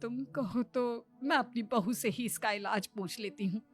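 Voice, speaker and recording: A woman's voice: fairly high pitch, unhurried, faint music behind, lightly acted. A woman voicing a chatty, gossipy middle-aged mother-in-law in a cartoon story, confiding her secrets in a conspiratorial way.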